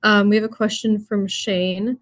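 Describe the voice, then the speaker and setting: Steady, flat-toned webinar moderator woman. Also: medium pitch, measured pace, no room sound. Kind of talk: moderating and reading out questions.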